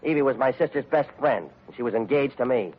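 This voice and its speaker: A man's voice: medium pitch, animated, fast, natural voice. An actor playing a surly, combative American tough guy in a 1940s radio crime drama, curt and slangy. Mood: bitter, defensive. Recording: tinny phone-like vintage radio recording.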